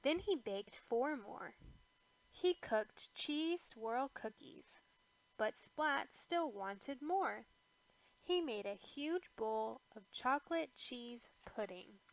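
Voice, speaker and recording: Female storybook narrator for young children: very unhurried, expressive, pitched fairly high. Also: gravelly, poor audio. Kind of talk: scripted children's read-aloud narration.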